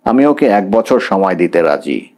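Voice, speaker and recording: A man voicing a pragmatic, class-conscious middle-aged father, measured and businesslike, mixing in English words. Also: sits low, big pitch swings, darkish tone. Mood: pragmatic, negotiating.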